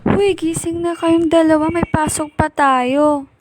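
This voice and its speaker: A woman's voice: extremely high pitch, fairly even intonation, measured pace. A woman playing a dutiful but aggrieved eldest daughter, a student, in an amateur radio drama. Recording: faint noise, clicks and clatter.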